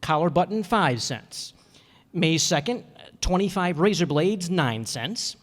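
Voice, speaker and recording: A man's voice: high voice, animated, measured pace. Man playing a fussy, bashful fellow in echoey hall. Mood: earnest, fussy. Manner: hesitant, earnest, fussy, throat-clearing. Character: bashful, literal-minded, penny-pinching male teacher. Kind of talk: old-time radio sitcom acting before an audience. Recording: echoey hall, amateur stage performance.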